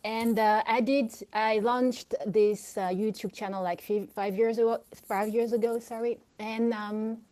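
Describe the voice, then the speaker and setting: A woman with a Belgian French accent speaks slowly and haltingly. She fills her sentences with ums and restarts, asking a question in casual chat. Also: a little high, fairly even intonation, dark tone. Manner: hesitant, many fillers and restarts. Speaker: audience member asking a question. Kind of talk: casual chat, audio room.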